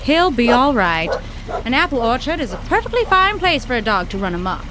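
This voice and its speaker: An actress playing a brisk, bold young woman who speaks impatiently and uses folksy sayings. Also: a little high, sing-song, pushed and bright, slight background noise.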